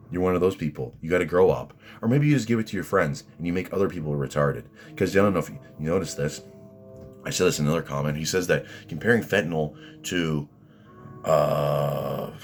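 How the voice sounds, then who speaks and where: A man with a deep voice, ranting at his critics in rambling, combative commentary full of restarts and fillers. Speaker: vlogger answering critics.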